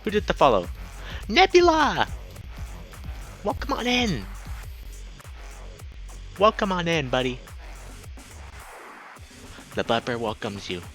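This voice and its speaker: Excitable man streaming, bright lively voice. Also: fairly high pitch, takes it slowly, long pauses, soft background music. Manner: excitable, chatty, greets viewers by name. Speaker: game streamer and host. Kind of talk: casual livestream chat while playing a visual novel.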